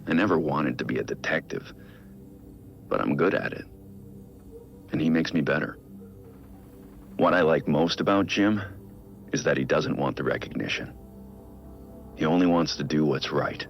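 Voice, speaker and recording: An actor playing a brooding billionaire vigilante, recording a measured, reflective personal audio log in a game. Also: male voice, lively intonation, lo-fi sound, faint music behind, full character voice. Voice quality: low gravelly voice. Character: brooding billionaire vigilante, man in his prime.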